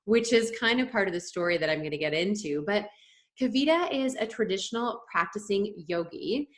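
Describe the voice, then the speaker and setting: A woman's voice: medium pitch, expressive, brisk. A woman hosting a casual interview podcast, upbeat and enthusiastic, laughing easily as she talks. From Canada. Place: home in a small coastal community.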